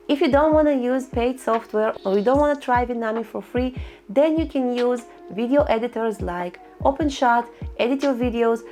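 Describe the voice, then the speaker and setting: Female tutorial host, fairly high voice, instructional and a bit cheeky, soft music bed. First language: Russian. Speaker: online income tutorial host. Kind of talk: screen-recorded tutorial voice-over.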